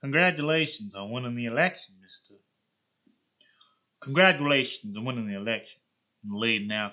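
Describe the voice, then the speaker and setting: A man's voice: medium pitch, bright, forceful tone, lo-fi sound, close to an everyday voice. A man voicing a scheming, impatient political blackmailer in a theatrical, threatening manner.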